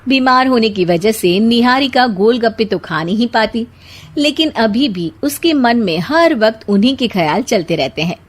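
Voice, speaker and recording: A woman narrating a cartoon story in a steady, matter-of-fact scripted style. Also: fairly high pitch, moderate pace.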